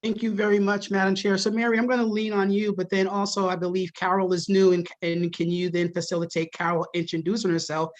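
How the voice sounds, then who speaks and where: A city department chief speaks courteously at a civic meeting and hands questions to colleagues. The voice sits mid-pitch, and the audio is dull and muffled. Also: flat delivery, fast, hardly any pauses. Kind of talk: public meeting remarks.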